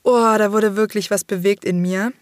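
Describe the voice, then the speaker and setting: A slightly hoarse woman hosting a podcast, talking openly and casually about her own life, swearing freely and filling pauses with "ähm". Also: medium pitch, steady tone, dry recording, studio quality. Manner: open, casual, swears freely, many fillers. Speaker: podcast host. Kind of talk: candid personal monologue.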